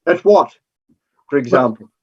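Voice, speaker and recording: A man playing a principled, courteous gentleman with a formal, earnest and slightly hesitant manner in a staged play reading. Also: medium pitch, animated, moderate pace, a little hiss or hum, natural voice. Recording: dull, muffled lo-fi sound.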